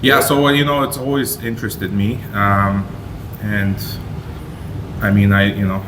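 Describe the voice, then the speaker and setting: A man from Romania speaks in a low voice as a casual interview guest. He wanders off and circles back as he tells his story. Clear air conditioning noise sits behind him in the room. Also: little pitch movement, a little slow. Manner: rambling, digressive. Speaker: distillery owner, interview guest. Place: indoors at a distillery.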